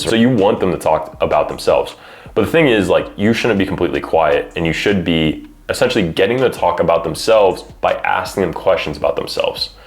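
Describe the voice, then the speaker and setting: A man giving conversational recruiting advice as a coach, in an informal way peppered with filler phrases like "you know". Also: low voice. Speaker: college football recruiting coach and adviser. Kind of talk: conversational advice, explaining to parents and athletes.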